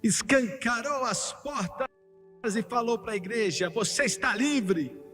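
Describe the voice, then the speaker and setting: Fervent male preacher, high voice, long hall reverb, soft music under the sermon. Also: unhurried. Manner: emphatic preaching that climbs to fervent, shouted peaks, with joking asides. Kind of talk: sermon, voiced over in translation.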